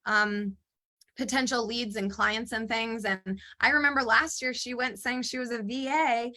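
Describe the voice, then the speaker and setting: A woman co-hosting a podcast in casual chat, talking excitedly and laughing often, her voice a little strained as she recovers from laryngitis. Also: on the high side, measured pace. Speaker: podcast co-host.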